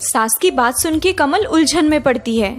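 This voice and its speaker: A woman with a high-pitched voice delivers scripted storytelling narration for a cartoon. Light music plays beneath her. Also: lively intonation, moderate pace.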